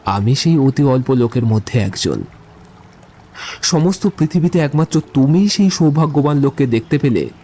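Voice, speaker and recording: A man's voice: mid-range voice, a little mellow. A man playing an eloquent young man in love in a literary audio drama, speaking in lyrical, wordy speeches.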